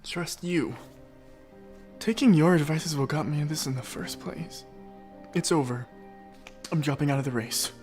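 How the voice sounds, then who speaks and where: An actor playing an anxious, defeated teenage class president, hesitant and stammering. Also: male voice, on the high side, normal speed, long pauses, darkish tone, soft background music, natural voice. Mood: bitter, resigned.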